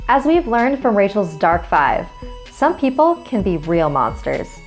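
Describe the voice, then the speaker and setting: A woman's voice: mid-range voice, very animated, a little slow, over music. A woman hosting a scary story series, reading scripted horror narration.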